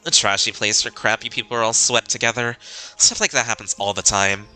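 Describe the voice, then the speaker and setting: A man reading the lines of a brash, cheerful young woman who grew up somewhere rough, in a blunt, matter-of-fact way. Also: fairly low pitch, fairly even intonation, brisk, bright, forceful tone, soft background music. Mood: blunt, carefree.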